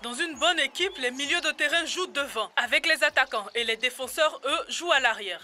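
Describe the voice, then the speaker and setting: A high, bright voice for a playful little cartoon monkey. The monkey is chatty and lively, in an educational show for children, with music behind. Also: moderate pace. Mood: cheerful.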